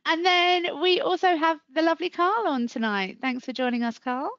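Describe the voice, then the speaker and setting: A woman's voice: sits high, animated, normal speed, a bit bright, dry recording, highs cut off. A woman from southern England hosting a webinar in a chatty way, with many ums, heard over a video call. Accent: southern English. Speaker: webinar host.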